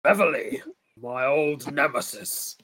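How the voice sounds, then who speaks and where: A man's voice: mid-range voice, takes it slowly, bright, forceful tone, low-quality recording. A man voicing a vain, scheming old wizard in a grand, theatrical manner for an improvised comedy scene. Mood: brooding.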